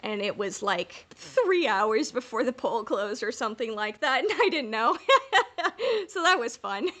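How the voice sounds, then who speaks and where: A woman's voice: a little high, lively intonation, a little slow, fairly bright tone. A woman co-hosting a podcast in a casual fan discussion, talking in a playful, rambling, informal way. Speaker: podcast co-host.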